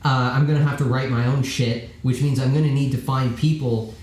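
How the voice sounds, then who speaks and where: A man with a bass voice telling his band's story in casual podcast chat, rambling and swearing freely. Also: steady tone, brisk, darkish tone. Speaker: band frontman. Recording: roomy echoey studio, faint noise.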